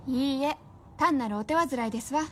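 Actress as high-voiced, genteel, zealous wife. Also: female voice, moderate pace, slight background noise, natural voice. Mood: polite composure. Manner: polite, old-fashioned ladylike phrasing. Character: devoted scientist's wife, genteel, eager, unsettlingly zealous. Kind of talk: radio drama acting.

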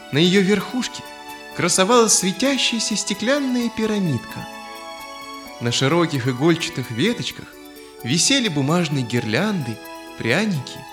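A man narrating a scripted children's audio story in an expressive, dramatic manner. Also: on the high side. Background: background music.

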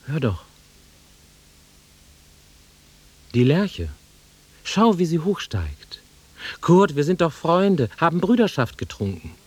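An actor playing a volatile, nostalgic country-bred man in a German radio drama, brooding and taunting, with a rough husky voice that swings from muttering to outbursts. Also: a little high, sing-song, a little slow, a little mellow, heavily acted. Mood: urgent, commanding.

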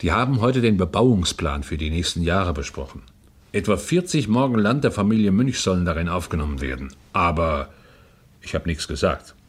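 A man's voice: sits low, sing-song, normal speed, slightly rough, full character voice. An actor playing a chatty, nosy male neighbour, hesitant and gossipy, in a radio crime drama. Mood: confidential. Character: chatty, nosy male neighbour and estate steward, town councillor.

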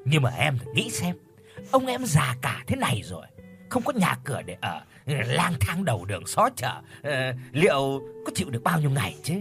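A man's voice: mid-range voice, wildly swooping pitch, moderate pace, vocal fry, a bit bright, soft background music, heavily acted. A man voicing a lecherous, smug older village man who chuckles and hesitates, in a spoken storybook. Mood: wheedling. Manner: hesitant, stammering.

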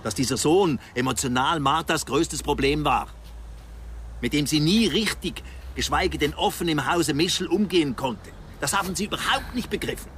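A man's voice: a little high, animated, normal speed, a bit bright, a little hiss or hum. An actor playing an older man in a radio drama who is curt, unwelcoming and reproachful. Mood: brusque, reproachful.

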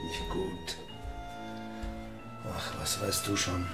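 A man's voice: low voice, fairly even intonation, extremely slow, over loud music. An actor playing a grave, brooding pastor in a radio drama, restrained and weighty in his speech. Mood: curt, irritable. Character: grave, brooding country pastor, a married man.

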